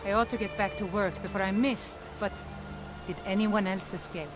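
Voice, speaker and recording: A woman acts out a fantasy game villager, a mother who shelters her kin. She speaks firmly and earnestly at a middle pitch. Clear background music runs under her voice. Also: fairly even intonation, moderate pace, lightly acted. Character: protective, hospitable village mother and sister. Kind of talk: video game voice acting.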